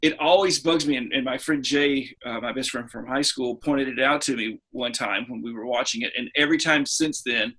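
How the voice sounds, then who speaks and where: Bright, slightly husky man chatting casually. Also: medium pitch, a little fast, hardly any pauses, poor audio. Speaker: podcast co-host. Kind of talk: casual film discussion among friends.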